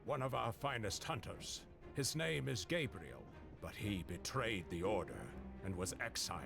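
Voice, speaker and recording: Stern gravelly monster hunter boss in a video game, very serious, lively pitch, music behind. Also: male voice. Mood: grave, measured. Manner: measured, authoritative, serious. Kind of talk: dramatic video game voice acting. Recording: music under the voice.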